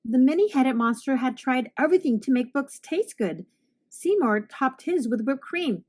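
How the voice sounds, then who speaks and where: A woman with a fairly high voice reads a picture book aloud. Her delivery is expressive storytime narration, aimed at young children. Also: normal speed. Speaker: storybook reader, host.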